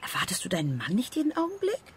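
Höhnische Erpresserin, stark schwankende Tonhöhe. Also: female voice, medium pitch, wildly swooping pitch, quick pace. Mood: mocking, menacing. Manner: mocking, insinuating, theatrical. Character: taunting, menacing female blackmailer. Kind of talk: radio drama acting. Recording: light background ambient noise.

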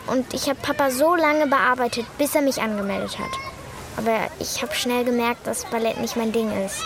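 A woman's voice: fairly high pitch, steady tone, moderate pace, natural voice. A woman playing an outspoken, chatty young schoolgirl in a German children's radio play. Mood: frank. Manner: chatty, plain-spoken, childlike. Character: outspoken schoolgirl telling police about her friend.

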